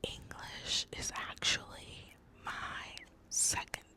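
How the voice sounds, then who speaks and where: A young woman from Boston whose first language is Spanish, telling personal facts in a slow, soft ASMR whisper. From United States (Boston). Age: young adult. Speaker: ASMR creator.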